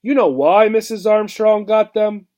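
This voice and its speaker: Guy doing a grumpy old storybook mouse, gruff n scornful, out for revenge. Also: sits high, measured pace, dark tone, voice pushed up. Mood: bitter, scornful. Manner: scornful, emphatic. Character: gruff, bitter, vengeful old male mouse.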